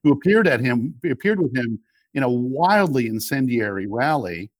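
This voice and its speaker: Male podcast guest, emphatic and argumentative, halting phrasing, close dry sound. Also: medium pitch, expressive, no echo, poor audio. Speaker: interview guest, political commentator and media executive. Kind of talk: conversational political debate.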